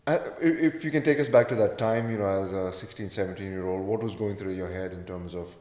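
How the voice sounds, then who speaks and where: Very deep-voiced male interview host. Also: fast, darkish tone. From India. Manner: encouraging, lots of short backchannel. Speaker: podcast host. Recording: echoey room with long reverb.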